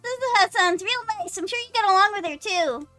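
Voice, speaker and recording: Need a woman doing a perky young anime girl: very high, bright, sweet and earnest, music faintly behind. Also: expressive, a little fast, no room sound, full character voice. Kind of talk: reading visual novel dialogue aloud.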